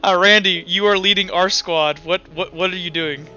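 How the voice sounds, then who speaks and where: A man's voice: high-pitched, steady tone, normal speed, bright, forceful tone, lo-fi sound. A man running a tabletop roleplaying game, narrating off the cuff in an informal, thinking-aloud way. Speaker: game master. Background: background music.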